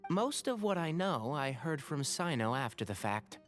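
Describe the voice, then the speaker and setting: An actor voicing a wry, articulate young fox-tailed forest scholar in a video game. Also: male voice, fairly high pitch, very animated, moderate pace, soft background music.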